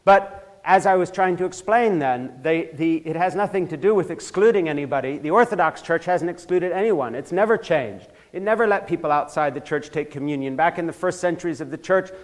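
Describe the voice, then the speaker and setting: A man's voice: a little high, brisk, few pauses. An older man from Switzerland giving an impassioned talk in a church, growing heated and emphatic as he goes, often restarting his sentences. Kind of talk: impassioned lecture.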